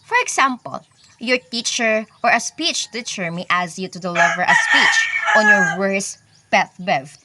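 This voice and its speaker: A woman teaching a recorded lesson, explaining step by step and listing points slowly. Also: mid-range voice, heavy background noise. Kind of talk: recorded lecture narration.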